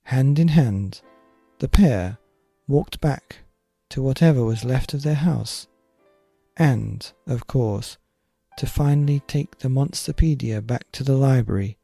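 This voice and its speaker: A man narrating a children's bedtime story in an expressive, theatrical and deliberate way. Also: medium pitch, gravelly, no echo, faint music behind. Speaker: podcast narrator.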